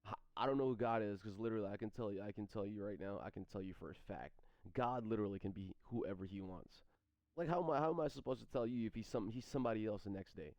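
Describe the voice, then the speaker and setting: A man in his thirties, streaming and rambling through questions from chat, halting and repeating his words as he goes. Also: lowish voice, a little mellow, no echo. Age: 30s. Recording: dry, slightly dull stream mic audio.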